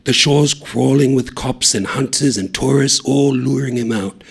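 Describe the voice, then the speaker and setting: A man reading a short story aloud in a steady, low-key narration. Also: measured pace, breathy edge.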